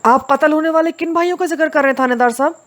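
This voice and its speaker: Nervous young villager in an audio drama, high and pleading, put on by a woman. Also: rapid-fire, lightly acted. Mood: alarmed. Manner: pleading, protesting innocence.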